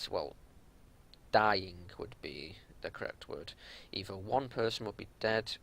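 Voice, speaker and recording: A man giving unscripted gameplay commentary as a raid leader, explaining tactics in a matter-of-fact way over a home recording with some low rumbling noise. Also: creaky, fairly bright tone.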